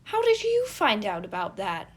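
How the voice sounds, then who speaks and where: A woman's voice: medium pitch, huge pitch swings, normal speed, breathy edge. A bold teenage prince acted by a woman, proud and theatrical. The speech is grandiose and exclamatory, pushed out with showy confidence for a storybook reading. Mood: flustered. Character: bold theatrical young prince, boastful and dramatic.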